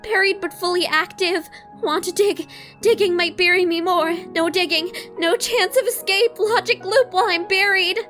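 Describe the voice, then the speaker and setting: A woman voicing an anxious, guarded young female robot in a comic dub, speaking in halting, clipped phrases. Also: very high voice, fairly bright tone, soft background music. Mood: fearful. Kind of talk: dramatic comic-dub acting.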